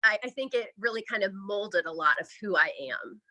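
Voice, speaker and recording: A woman's voice: mid-range voice, animated, a little fast, sharp tone. A woman from the American Midwest chatting casually and candidly as an interview guest, often saying "like". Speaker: interview guest, podcaster and writer.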